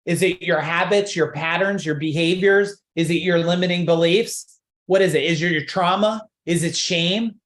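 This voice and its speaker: A man's voice: sits high, moderate pace, a bit bright, roomy sound. A man coaching a live online seminar, pushing emphatic rhetorical questions and repeating his points. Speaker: business coach.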